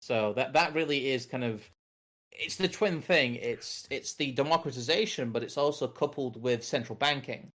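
A man's voice: mid-range voice, moderate pace, a bit bright. A man from the North of England with a neutral English accent, co-hosting a casual political podcast in a rambling, self-correcting way. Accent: General English. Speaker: podcast co-host.

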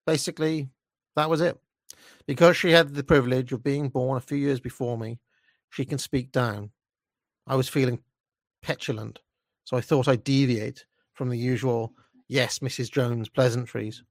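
A man's voice: medium pitch, no echo, studio quality, lightly acted. A man voicing a snobbish, petulant young male narrator of a story, with pompous, contemptuous, precise delivery. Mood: haughty, petulant. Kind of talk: first-person dramatic story reading.

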